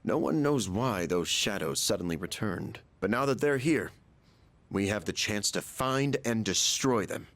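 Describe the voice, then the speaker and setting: A man's voice: on the low side, lively intonation, unhurried, a bit bright. A man playing a genial, persuasive middle-aged school chairman in a video game, explaining things eagerly. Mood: reassuring, earnest.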